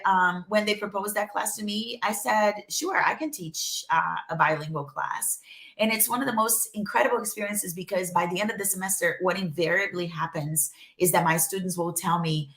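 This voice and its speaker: A woman from Brazil whose first language is Portuguese, chatting as an interview guest in an animated way, with lots of fillers and restarts. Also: medium pitch, moderate pace. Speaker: guest, journalism professor. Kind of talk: casual interview chat. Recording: echoey room.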